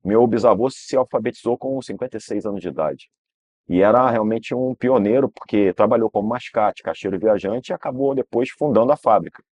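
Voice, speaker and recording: A man's voice: on the low side, a little fast, darkish tone, slightly rough, no echo. A Brazilian man, a guest in an interview telling long family stories, rambling and restarting with frequent tag questions. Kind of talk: relaxed storytelling interview.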